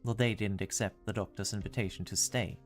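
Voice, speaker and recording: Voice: low-pitched, fairly even intonation, fairly quick, soft background music, lightly acted. An actor playing a stern, formal, dryly exasperated royal officer in an amateur game fan dub. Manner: formal, clipped, dryly reproachful.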